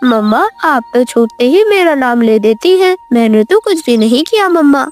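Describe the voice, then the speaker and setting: A woman's voice: sits high, animated, moderate pace, a little mellow, soft background music. A woman voicing a cheeky young boy sparrow chick in a children's cartoon, teasing and defensive.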